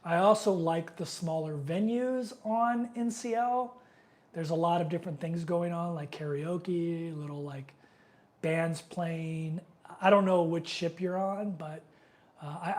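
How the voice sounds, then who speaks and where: A man's voice: a little high, unhurried, darkish tone. Sniffly middle-aged man chatting live. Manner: loose and rambling, many fillers, reads viewer comments aloud. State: congested, sniffling with a cold. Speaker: live stream host. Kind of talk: casual live Q&A chat.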